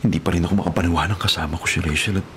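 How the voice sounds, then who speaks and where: An actor playing a humble, devoted young Filipino gardener in a radio drama, speaking earnestly and tenderly. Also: male voice, low-pitched, fairly even intonation, very fast, fairly bright tone, a little hiss or hum. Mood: dreamy, tender.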